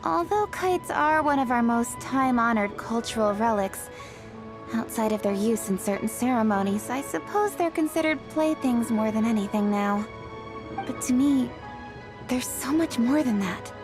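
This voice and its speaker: An actress playing a composed, thoughtful young noblewoman in an anime-style game, speaking in a measured, formal way. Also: on the high side, expressive, over music. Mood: earnest.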